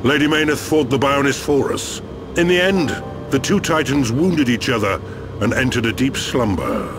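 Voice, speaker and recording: A man playing a very old, grave machine-being elder in a game cutscene, with a measured, theatrical delivery. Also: mid-range voice, animated, close to an everyday voice. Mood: reflective. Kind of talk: dramatic video game cutscene acting. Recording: voice under clear background music.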